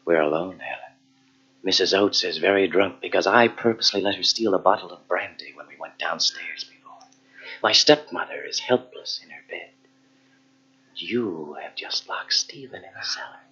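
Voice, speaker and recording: Low, husky, menacing gentleman killer. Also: exaggerated voice. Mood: cold menace. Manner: deliberate, cruel, self-satisfied. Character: cold, deranged gentleman murderer, quietly menacing. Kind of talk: old-time radio suspense drama acting. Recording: muffled old radio tape.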